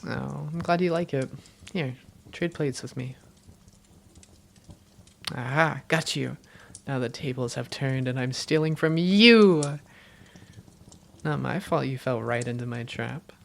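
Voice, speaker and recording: A woman playing an affectionate, self-doubting vampire girlfriend in a cozy roleplay audio, speaking in an intimate, teasing and sometimes halting way. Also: extremely low pitch, creaky, exaggerated voice. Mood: playful, affectionate. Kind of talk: cozy roleplay audio, one-sided conversation.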